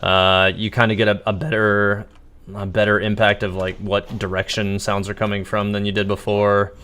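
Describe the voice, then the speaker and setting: A man livestreaming a video game with casual, rambling, halting commentary. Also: fairly low pitch, little pitch movement, a bit bright, clean tone. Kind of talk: casual live gameplay commentary.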